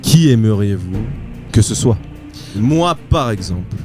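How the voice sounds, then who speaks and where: A low-pitched man leads a loose, teasing conversation among friends, stretching out a silly hypothetical and laughing as he goes. Music plays clearly behind the chatter. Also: animated, unhurried. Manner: teasing, sets up games, laughs a lot. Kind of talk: casual chat. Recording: music playing loudly behind.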